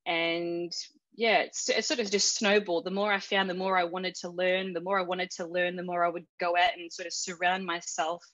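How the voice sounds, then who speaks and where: Chatty Australian woman, low dull sound. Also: flat delivery, moderate pace, fairly bright tone, no room sound. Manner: chatty, many fillers and restarts. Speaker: interview guest. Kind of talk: casual personal storytelling.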